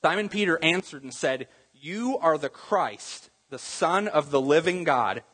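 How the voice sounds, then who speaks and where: A man's voice: on the high side, a bit bright, slightly rough, long reverb tail, rough recording. A man preaching a sermon in a church in a conversational teaching manner, quizzing the congregation with questions. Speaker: pastor.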